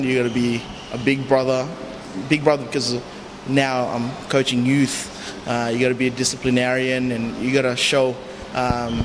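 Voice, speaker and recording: A man from the Philippines giving unscripted, halting interview answers as a youth coach. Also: medium pitch. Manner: hesitant, halting phrasing. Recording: long reverb, clear background noise.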